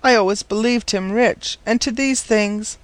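A man's voice: high-pitched, unhurried, a bit bright, dry recording, voice pushed up. A man voicing a forlorn, pleading teenage orphan girl in a storybook, earnest, plaintive and formally polite.

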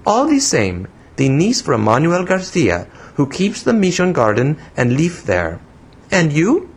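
A man's voice: a little high, wildly swooping pitch, measured pace, husky, voice pushed up, full character voice. A man voicing a small, saucy young woman with a childish voice, a Spanish accent and broken English, in a story reading. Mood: pert. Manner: broken English, pert and pouting.

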